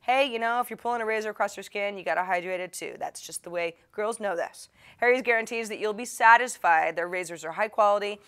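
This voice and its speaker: A woman presenter speaks at a middle pitch, mixing scripted lines with casual asides. Her manner is chatty and playful, with a wry, self-deprecating streak as she addresses her audience directly. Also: fast talker, a bit bright, clean tone. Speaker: host of a tech tips show.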